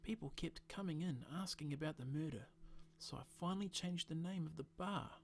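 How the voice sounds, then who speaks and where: A man's voice: on the high side, normal speed, a little hiss or hum, lightly acted. A man voicing a friendly, easygoing male bartender who chats and jokes with customers. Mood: chatty. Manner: friendly and chatty, with a joking tone.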